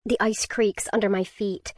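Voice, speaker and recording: A woman narrating a first-person survival thriller audiobook in a tense, measured way. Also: medium pitch, level tone.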